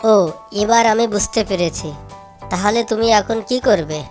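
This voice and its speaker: A woman plays a big-sisterly crow from a children's cartoon. She is inquisitive and concerned, in a mid-pitched female voice. Faint music sits behind her. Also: measured pace, darkish tone, natural voice. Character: concerned, questioning crow, elder sister figure. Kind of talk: cartoon fable voice acting.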